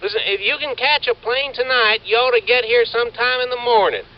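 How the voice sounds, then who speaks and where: High-voiced, rambling young American agent. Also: male voice. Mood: worried, rambling. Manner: halting, rambling, informal. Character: young, rambling, folksy male insurance agent. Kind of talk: 1950s radio drama acting. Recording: muffled, noisy old radio recording.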